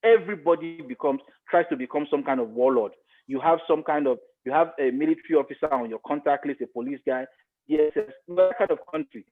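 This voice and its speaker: A man's voice: fairly high pitch, quick pace. A man with a Nigerian accent giving his opinions in a podcast discussion, emphatic and repeating phrases to make his point. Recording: thin, phone-like line.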